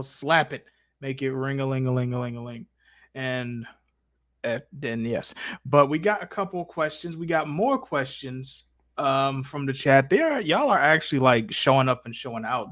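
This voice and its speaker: A man's voice: medium pitch, expressive, normal speed, fairly bright tone, no echo, clean studio sound. A man hosting a live stream in casual, playful, slangy banter as he takes questions from the chat. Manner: slangy, playful, informal y'all-heavy talk.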